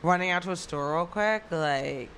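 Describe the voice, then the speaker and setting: A woman's voice: fairly low pitch, normal speed, a bit bright, slight background noise. A young adult woman chatting casually and gossipily as a podcast co-host, emphatic and leaning on filler "like". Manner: gossipy, emphatic, heavy use of "like". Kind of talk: casual chat.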